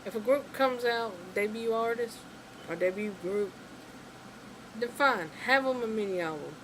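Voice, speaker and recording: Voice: mid-range voice, unhurried, noisy background. A vlogger ranting casually to camera, rambling and exasperated, with lots of "you know" and "like" fillers. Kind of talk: casual opinion rant to camera.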